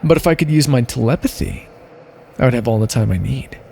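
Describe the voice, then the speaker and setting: A man's voice: mid-range voice, a little fast, creaky, dark tone, a little hiss or hum, lightly acted. A man playing an imprisoned minor supervillain with mind powers in an ASMR roleplay, talking straight to the listener in a theatrical, rambling way. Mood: wry, scheming. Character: imprisoned minor supervillain with mind control, chatty, scheming, smug.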